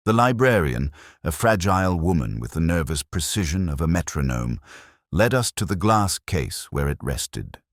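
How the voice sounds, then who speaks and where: A man reading a scripted first-person detective mystery in a measured, brooding, literary manner. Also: very deep voice, dry recording, clean studio sound. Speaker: narrator.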